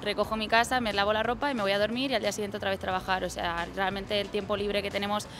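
Young woman, firm and opinionated, fast speech, clear music behind. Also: medium pitch, flat delivery. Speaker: sports shop worker. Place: outdoors on a city street. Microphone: handheld mic.

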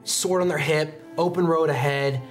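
A young man improvising descriptive tabletop roleplay narration as game master, halting now and then to find his words. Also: a little high, fairly even intonation. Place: indoor studio set, seated at a desk. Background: music.

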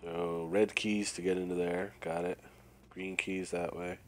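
A man talks through a prison video game in a low, flat voice that stays close to one note. A cold has left him raspy and nasal. Quiet game music plays underneath. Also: a little slow. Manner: relaxed, thinking aloud while playing. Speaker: gameplay commentator. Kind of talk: casual let's-play commentary. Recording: faint game music behind.

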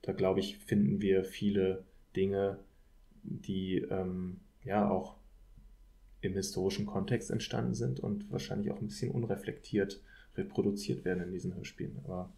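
A man in a thoughtful academic podcast discussion, speaking in a hesitant, measured way as an expert guest. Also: deep voice, level tone, gravelly, mellow. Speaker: podcast guest, political scientist.

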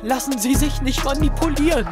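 A man's voice: extremely high pitch, fairly quick. A young man playing a suspicious, sardonic sixteen-year-old schoolboy in an audio drama, blunt and slangy. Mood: agitated, defiant. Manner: youthful slangy speech, blunt. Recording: music behind the voice.

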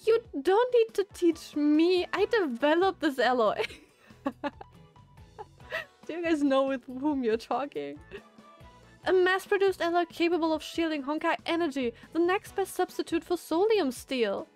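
Woman playing a fiery, high-pitched bossy young scientist. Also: expressive, measured pace, a little mellow, soft background music. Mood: indignant boasting. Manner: indignant, emphatic.

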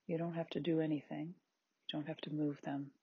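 A meditation guide with a husky, breathy, androgynous voice, giving gentle, unhurried body scan instructions in short spaced phrases. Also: medium pitch, little pitch movement, no room sound, lo-fi sound. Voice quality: very husky, breathy, at times near-whispered.

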